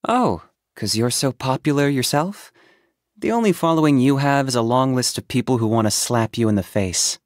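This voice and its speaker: An actor playing an aloof, pragmatic young hunter in a video game, speaking in a calm, reserved, matter-of-fact and dryly sardonic way. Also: male voice, medium pitch, moderate pace, no room sound, studio quality, close to an everyday voice. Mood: dry, unimpressed. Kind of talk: video game character voice acting.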